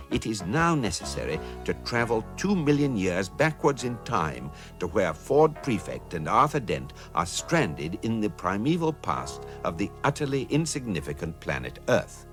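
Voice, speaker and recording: An actor playing an urbane guidebook narrator in a comic sci-fi radio drama, formal, measured and deadpan. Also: male voice, on the low side, music in the background. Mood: dry, formal. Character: urbane male guidebook narrator, calm, drily ironic.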